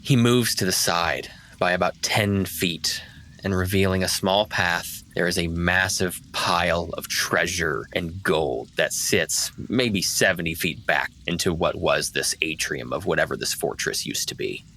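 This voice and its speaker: A man's voice: low-pitched, a little slow, pushed and bright, slight background noise. A man running a tabletop roleplaying game as game master, switching between casual table talk and narration.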